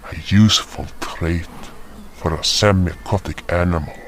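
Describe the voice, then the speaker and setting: An actor narrating as a solemn fantasy hunter who recounts his journey in a measured, dramatic way. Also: low-pitched, slight background noise, close to an everyday voice. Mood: solemn, descriptive. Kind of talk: scripted first-person fantasy storytelling.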